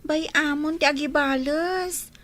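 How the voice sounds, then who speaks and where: An earnest little Ilocano child who loves to tattle, from a village comedy radio play. The voice is extremely high and childlike. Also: female voice, steady tone, measured pace, fairly bright tone. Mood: worried.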